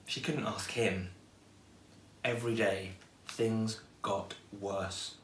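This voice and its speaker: A man reading a children's story aloud in an expressive storytelling style. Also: fairly low pitch, takes it slowly, slight background noise. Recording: echoey room, distant microphone.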